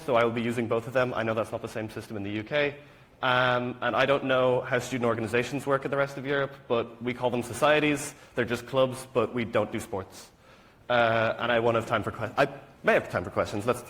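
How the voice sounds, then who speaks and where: A man's voice: mid-range voice, fairly bright tone. A young man from rural Ireland with an Irish accent, giving a casual technical presentation at a conference in an informal, wry, self-deprecating way. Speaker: student conference speaker.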